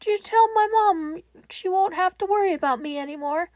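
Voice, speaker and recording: A woman's voice: extremely high pitch, normal speed, dry recording, narrow, tinny audio, pitched above the performer's own voice. A woman voicing a despairing young boy in a fan-fiction reading, fragile, trembling and pleading.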